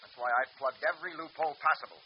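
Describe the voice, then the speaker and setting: Actor as a firm, brisk lawyer giving orders, slightly higher voice, rapid delivery, muffled hissy old radio sound. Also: male voice, close to an everyday voice. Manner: firm, commanding. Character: stern sharp male defense attorney. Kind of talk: 1940s radio acting.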